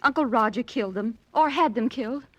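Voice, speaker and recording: A woman's voice: fairly high pitch, fairly quick, a bit bright, no echo. An actress playing an anxious young heiress in a 1940s radio drama, earnest and hesitant as she pleads.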